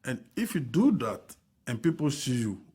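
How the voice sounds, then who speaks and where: A man's voice: mid-range voice, very animated, normal speed, mellow, breathy edge. French-first man on a radio show in a studio, mid voice, emphatic, keeps restarting and repeating himself. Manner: emphatic, repetitive, many restarts. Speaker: Red Cross volunteer team leader, guest. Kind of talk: radio interview. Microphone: studio microphone.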